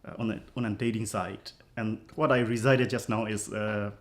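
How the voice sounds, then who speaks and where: A man from Myanmar, a poet giving thoughtful, halting interview answers with many pauses and restarts. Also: on the low side, fairly even intonation. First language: Burmese.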